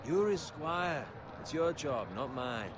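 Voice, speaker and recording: An actor playing a cocky, teasing young soldier in a medieval fantasy game, speaking bluntly and mockingly. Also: male voice, on the high side, expressive, slow pace, noisy background. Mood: bossy. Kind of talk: video game fantasy acting.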